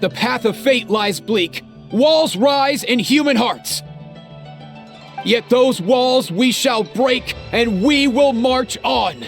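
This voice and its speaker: A man's voice: very high-pitched, expressive, slow, creaky, soft background music, full character voice. A man voicing a small, earnest heroic creature in a video game, declaiming in a solemn, theatrical way. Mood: solemn, resolute.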